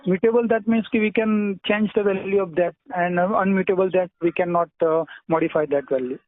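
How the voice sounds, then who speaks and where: A man with an Indian accent answering technical interview questions over the phone, hesitant and halting, often clearing his throat. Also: high voice, fairly even intonation. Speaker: job candidate being interviewed. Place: phone call. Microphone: telephone. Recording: tinny narrowband telephone line.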